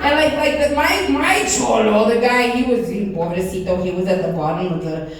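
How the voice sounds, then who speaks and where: A woman's voice: mid-range voice, normal speed. A woman from Texas with a South Texas Chicana accent, doing a brash, giggly stand-up set full of swearing. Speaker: stand-up comedian. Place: comedy club stage. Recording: echoey club from far off, loud crowd.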